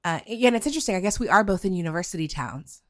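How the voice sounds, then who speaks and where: A woman hosting a podcast in casual chat, halting and full of fillers. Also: on the low side, lively intonation, dry recording, clean studio sound. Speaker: podcast host.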